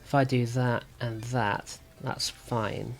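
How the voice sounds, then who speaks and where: A man with a sore throat and slightly rough voice, thinking aloud as he gives casual commentary on a card game. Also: medium pitch, steady tone, slow pace, soft background music. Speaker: gaming video host.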